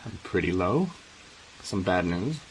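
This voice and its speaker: An actor playing an ambitious, cynical American politician in a radio drama, confident, glib and worldly. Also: male voice, low-pitched, expressive, a little slow, poor audio. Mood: low, guarded. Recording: faint background noise.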